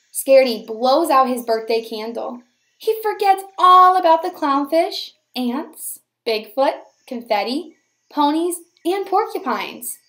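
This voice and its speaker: A woman reading a children's picture book aloud as a teacher, in an expressive, deliberate storytelling manner for young children. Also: high voice.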